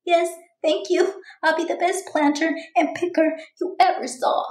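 A woman's voice: extremely high pitch, steady tone, normal speed, a little mellow, roomy sound, pitched above the performer's own voice. A woman voicing an eager, dramatic young girl who chats and exclaims through her journal in a children's storybook. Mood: eager, grateful. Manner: exclamatory, chatty, dramatic announcements. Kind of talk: children's storybook read-aloud.

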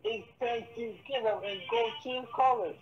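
A person from Florida improvising repetitive, chanting raps and bickering in a halting way. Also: medium pitch. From Tampa, Florida. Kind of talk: improvised rapping and bickering in a home-made prank series. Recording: dull lo-fi audio with music, radio-like.

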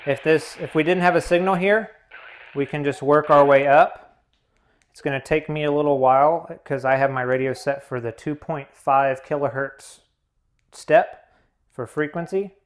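Male tutorial host, slightly high voice, methodical practical instruction. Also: steady tone, moderate pace. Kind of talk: hands-on instructional narration.

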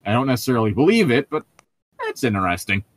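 Guy with a mid-pitched voice going off on opinionated sweary tangents, super dry close sound. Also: sing-song, quick pace, no echo. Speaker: co-host of a group read-along commentary show. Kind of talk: casual chat and mocking commentary.

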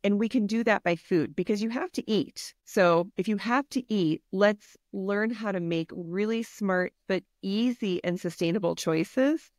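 A woman's voice: medium pitch, unhurried, few pauses, a little mellow, no echo, studio quality. A woman health and wellness coach, a podcast guest, talking in an earnest, encouraging, practical way. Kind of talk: podcast interview.

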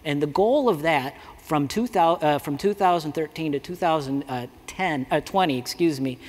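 A man's voice: on the high side, normal speed. A man in his forties giving a conversational conference talk at a lectern mic, with many fillers and restarts. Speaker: conference speaker. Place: large conference hall. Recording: reverberant hall.

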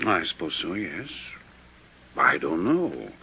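An actor playing an irritated, defensive physician in a 1950s radio drama, speaking in a testy, emphatic way. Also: male voice, sits low, very animated, slow pace, husky, exaggerated voice. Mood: evasive. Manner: testy, emphatic, put-upon. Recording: noisy, muffled old radio recording.

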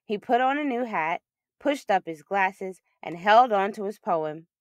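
A woman reading a children's picture book aloud as a storyteller, with expressive, emphatic delivery. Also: medium pitch, a little slow, no room sound.

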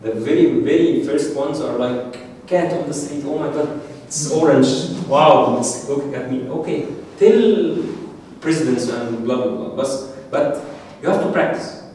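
A middle-aged man with an Egyptian Arabic accent, Arabic native, giving an informal lecture to an audience, halting and repeating words. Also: medium pitch, mellow. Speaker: masterclass speaker. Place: dark screening room with audience. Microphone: handheld mic, filmed from the back of the room. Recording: echoey room, distant mic, faint hiss.

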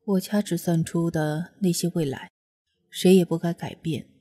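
A woman voicing a calm, composed young male immortal with a husky voice, in an audio drama. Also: lowish voice, moderate pace, lightly acted. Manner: level, composed.

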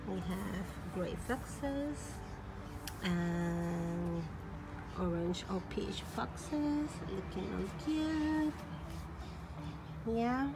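Slow-talking, cooing woman vlogger. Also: medium pitch, animated, noisy background. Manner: slow, gushing, baby-talk cooing with soft laughs. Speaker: doll collector vlogger. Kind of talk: cooing show-and-tell of a doll collection. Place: bedroom at home. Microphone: phone camera held by the speaker.

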